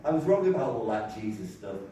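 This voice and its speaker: A man's voice: fairly low pitch, very animated, fast talker, dark tone. A man reading the part of a deluded, cheerful psychiatric patient who thinks he speaks for God in a stage play, earnest, chatty and pompous, in a very echoey, distant, noisy recording. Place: large echoey room, mic at a distance.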